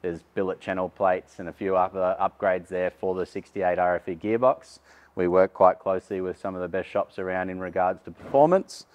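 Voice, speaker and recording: A man's voice: low voice, steady tone, brisk. A man, giving a casual walkaround tour of a vehicle workshop with frequent fillers. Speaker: workshop host. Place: large vehicle workshop indoors.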